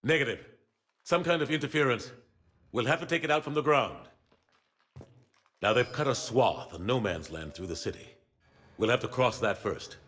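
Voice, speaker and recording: Hard-bitten, authoritative military admiral. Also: male voice, mid-range voice, expressive, moderate pace, pauses often, fairly bright tone. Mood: commanding, grim. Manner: authoritative, clipped military briefing. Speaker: admiral briefing troops. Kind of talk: video game cutscene acting.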